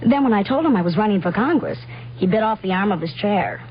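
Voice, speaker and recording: A woman's voice: medium pitch, animated, fast talker, natural voice. An actress playing a confident modern woman in a radio commercial, telling her story wryly. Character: confident, wry modern career woman car buyer. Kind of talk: 1970s radio commercial monologue. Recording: muffled radio recording, faint hum.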